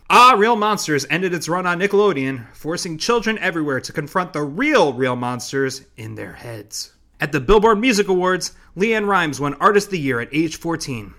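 A man's voice: fairly high pitch, bright, forceful tone. A man from Connecticut with a New England accent, co-hosting a podcast in a joking, self-deprecating way. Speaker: podcast co-host. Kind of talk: casual chat with comic scripted intro.

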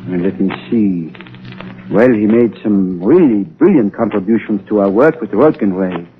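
A man's voice: fairly low pitch, lively intonation, normal speed, lightly acted. A man playing a frank scientist in a 1930s radio drama, pondering as he talks, on a muffled old recording. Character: frank scientist doctor, startled but obliging man.

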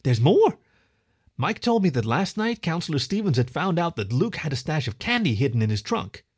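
A man's voice: a little high, very animated, moderate pace, a little mellow, breathy edge, pitched above the performer's own voice. A man voicing an awkward, indignant young fox boy who stammers and protests in a storybook. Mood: eager.

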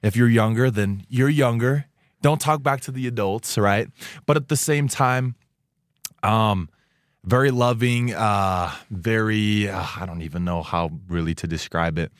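Low-voiced young man from Taiwan. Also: measured pace, no echo, studio quality. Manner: relaxed, chatty, many fillers and restarts. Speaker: student guest. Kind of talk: casual interview chat. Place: radio studio.

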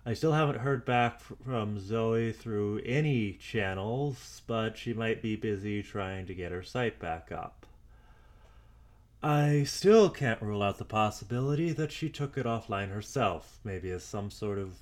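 A man playing a wary, methodical amateur investigator dictating audio logs in an audio drama, speaking deliberately and with some hesitation. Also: on the low side, expressive. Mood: wary, pondering. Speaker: podcast drama actor. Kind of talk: audio log monologue, audio drama.

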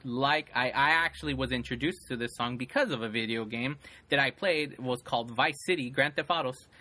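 Bright-voiced man rambling casually. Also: medium pitch, fairly quick. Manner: rambling, many restarts and fillers. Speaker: podcast co-host. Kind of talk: casual chat.

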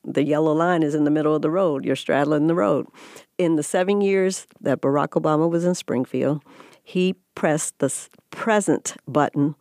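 Brief: mid-pitched interviewee, plain explanatory delivery, dry close sound. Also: moderate pace, a little mellow, no echo.

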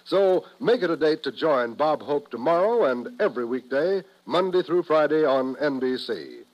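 A man's voice: on the high side, animated, moderate pace, lo-fi sound. An American man announcing on 1950s network radio in a polished, promotional manner. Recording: vintage 1950s radio broadcast.

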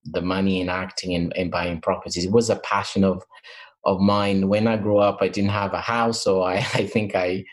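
ポルトガル語が母語の男性、とても低い声、インタビューで「えー」と言いよどみながら話す。. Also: male voice, deep voice, level tone, normal speed. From Portugal and Africa. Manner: hesitant, many fillers and restarts. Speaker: interview guest, mentor. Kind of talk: interview answers. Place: in England.

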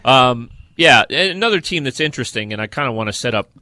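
Bright, forceful man hosting sports talk. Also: medium pitch, lively intonation, quick pace, no room sound. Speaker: sports podcast host. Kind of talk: football discussion.

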